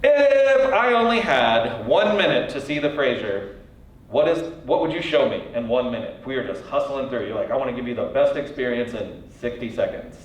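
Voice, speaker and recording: A man's voice: medium pitch, expressive, moderate pace. A man hosting an interview, asking upbeat questions in a casual chat. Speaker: interview host. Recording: very echoey room from a distance.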